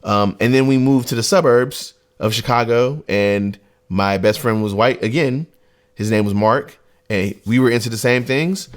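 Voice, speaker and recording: Relaxed casual man. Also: medium pitch, normal speed. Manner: loose, conversational, frequent "you know what I mean". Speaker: podcast co-host. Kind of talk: casual podcast discussion.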